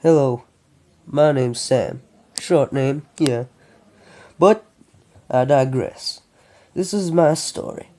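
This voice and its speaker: Young Texan farmhand played by a man, folksy, reflective storybook narrator, dark relaxed tone, deliberate delivery. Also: mid-range voice. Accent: attempted Texan. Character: young Texan farm boy recounting his life story. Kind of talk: first-person story narration.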